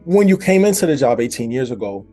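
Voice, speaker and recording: A man's voice: mid-range voice, sing-song, fast talker, dark tone. A man hosting a casual interview, reflective and affirming, building his points step by step. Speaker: podcast host, interviewer. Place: indoors at his house. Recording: faint music behind.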